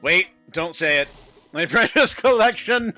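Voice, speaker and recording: An exasperated everyman from a visual novel, acted out by a man, bright-voiced and incredulous. He is wary and dramatic about his computer troubles, over background music. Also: sits high, expressive, unhurried, pitched above the performer's own voice, full character voice. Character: exasperated male computer user, wary office worker. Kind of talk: visual novel protagonist read-aloud.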